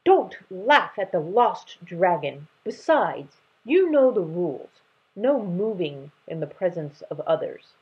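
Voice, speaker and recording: A woman plays a kindly mother lion in a storybook. She talks slowly and with lively pitch, motherly, a little husky and gently firm. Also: muffled recording, exaggerated voice. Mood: scolding. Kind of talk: children's storybook read-aloud.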